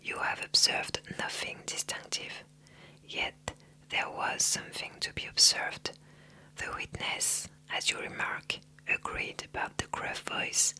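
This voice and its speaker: A reader whispering the lines of a calm, methodical male detective in slow ASMR style. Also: low-quality recording. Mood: analytical. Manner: measured, analytical, unvoiced whisper.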